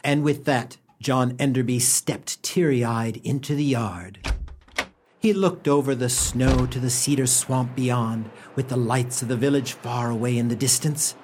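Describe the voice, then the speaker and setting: A man's voice: mid-range voice, a little slow, a little mellow. Male storyteller over noticeable background noise. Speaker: radio play narrator. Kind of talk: scripted storytelling narration.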